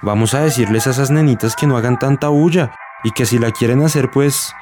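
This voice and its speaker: A man acting an eager, girl-crazy buddy in a radio drama. His mid-pitched voice is slangy, with a Bogotá accent, and music plays clearly behind him. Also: brisk, close to an everyday voice. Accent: Colombian, Bogotá. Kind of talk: colloquial radio drama dialogue.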